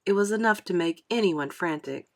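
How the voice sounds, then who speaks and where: A woman reading a children's novel aloud as an expressive classroom storyteller. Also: on the low side, moderate pace. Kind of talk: expressive children's audiobook narration.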